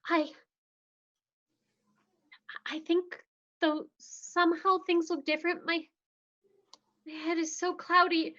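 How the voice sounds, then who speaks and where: A confused young woman patient who stammers and trips over her words, as a woman acts her out. Her voice is very high and bright, with a slightly dull, muffled mic sound. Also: little pitch movement, takes it slowly, pauses often, heavily acted. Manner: nervous, stammering. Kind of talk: livestream script reading.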